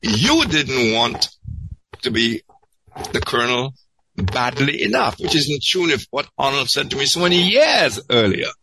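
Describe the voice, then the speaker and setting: A man telling an anecdote in an interview, halting and repeating words as he searches, then landing them emphatically. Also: mid-range voice, very animated, noisy background. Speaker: podcast guest.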